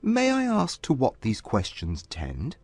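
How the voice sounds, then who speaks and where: A man playing a proud, reserved young Regency gentleman, speaking gravely and formally in a dramatized audiobook. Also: mid-range voice, huge pitch swings, a little slow, no room sound, faint music behind, heavily acted. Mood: grave, guarded.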